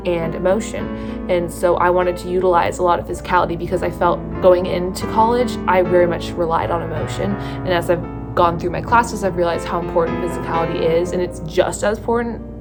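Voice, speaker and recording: A tired young woman from California with a Californian accent, talking slowly and thoughtfully about her stage work, with many fillers and restarts. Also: lowish voice, fairly even intonation, over loud music. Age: young adult. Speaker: theatre student vlogger. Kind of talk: casual reflective talk to camera.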